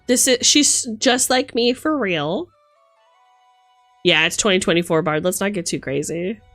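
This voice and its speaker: A woman's voice: on the low side, lively intonation, a little slow, a bit bright, no echo, soft background music. A woman doing casual livestream commentary as host, playful and theatrical, mixing gushing exclamations with dry asides. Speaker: streamer hosting a live book look-through.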